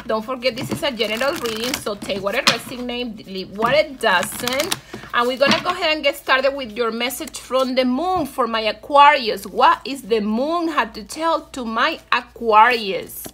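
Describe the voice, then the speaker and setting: Woman, Venezuelan Spanish accent, high pitch, bright forward tone, casual tarot reader, encouraging, repeats phrases. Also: normal speed. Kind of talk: casual tarot reading to viewers.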